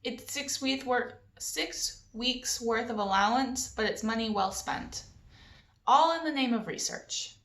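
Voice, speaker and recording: Expressive woman reading aloud, roomy echo. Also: fairly high pitch, unhurried. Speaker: librarian reading a children's novel aloud. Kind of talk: expressive read-aloud storytelling.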